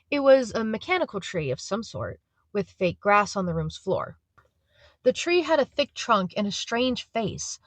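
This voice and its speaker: A woman's voice: medium pitch, a bit bright, no room sound. A woman reading scripted third-person narration for an audio drama.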